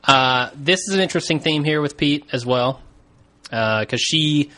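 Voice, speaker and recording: Guy on a podcast going over an episode, bright voice, easygoing and jokey. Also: on the high side, moderate pace. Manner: laid-back, jokey, laughs easily. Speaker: podcast co-host. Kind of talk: casual chat recapping a TV episode.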